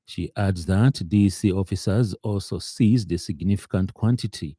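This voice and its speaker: A man with a very deep, mellow voice and a Zambian accent reads the radio news. His delivery is formal and halting, and the sound is close and dry. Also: husky, no echo. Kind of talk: scripted news bulletin. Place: radio studio.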